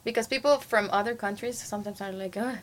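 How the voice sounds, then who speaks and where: A woman's voice: mid-range voice, fast talker, a little hiss or hum. A young woman from Guatemala whose first language is Spanish, answering interview questions casually, with lots of fillers, restarts and laughs. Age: young adult. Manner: hesitant, many fillers and restarts, frequent laughs. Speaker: interview guest, international student.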